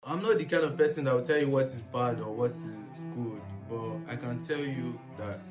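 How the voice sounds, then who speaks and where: Nigerian guy kinda thinking out loud and explaining stuff, guitar music behind him. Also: mid-range voice, moderate pace. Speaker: panelist on a history discussion series. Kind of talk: casual educational discussion.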